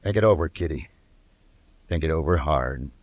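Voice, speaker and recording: An actor playing a cold, threatening schemer with terse delivery in an old-time radio Western. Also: male voice, extremely low pitch, normal speed. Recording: dull vintage radio recording.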